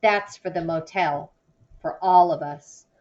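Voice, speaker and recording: A woman's voice: on the low side, slow, a little mellow, dull audio. A woman voicing an earnest, anxious young girl in a children's novel read-aloud. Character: earnest, worried young girl defending her father.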